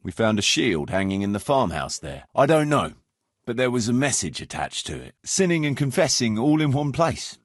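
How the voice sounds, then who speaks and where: A man's voice: medium pitch, sing-song, moderate pace, no echo, studio quality. A man playing a roguish, world-weary medieval mercenary captain in a video game, firm but fond, speaking bluntly and colloquially. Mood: matter-of-fact. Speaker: game character, band leader. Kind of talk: video game dialogue acting.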